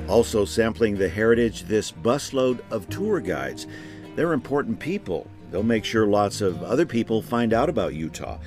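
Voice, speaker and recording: A man's voice: on the low side, big pitch swings, moderate pace, over music. A man hosting a travel show, reading scripted narration. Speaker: host and narrator.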